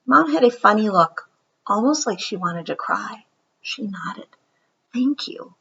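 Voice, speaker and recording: A woman narrates a picture book with animated, dramatic delivery. Her voice swings widely in pitch and has a husky edge, on a slightly muffled recording. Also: a little slow. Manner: animated, dramatic storytelling with whispered asides. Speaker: storybook reader. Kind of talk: expressive read-aloud narration of a children's picture book.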